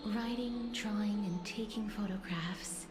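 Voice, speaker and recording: A woman voicing a polite, reserved young woman in a fantasy game, soft-spoken, formal, hesitant and wistful. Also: mid-range voice, measured pace, roomy sound, over music, close to an everyday voice. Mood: reflective, shy. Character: gentle, melancholy young female poetry lover, polite and reserved. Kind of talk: fantasy video game acting.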